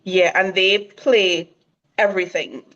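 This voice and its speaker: A woman's voice: mid-range voice, steady tone, slow pace, fairly bright tone. Trinidadian woman guest, mid-range voice, eager explainer, muffled call audio. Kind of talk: casual conversation.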